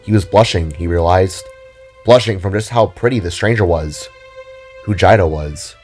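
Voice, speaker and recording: A man's voice: deep voice, moderate pace, a little mellow, faint music behind. A man narrating a scripted audio drama in a dramatic storytelling style.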